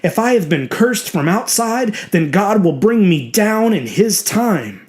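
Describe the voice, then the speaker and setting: A man's voice: sits high, very animated, unhurried, darkish tone, pitched above the performer's own voice. A man voicing a petulant, self-righteous small-town reverend with a beast inside, preaching his own excuses in an indignant, brooding way for a horror audio drama. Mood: self-righteous, scheming. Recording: music behind the voice.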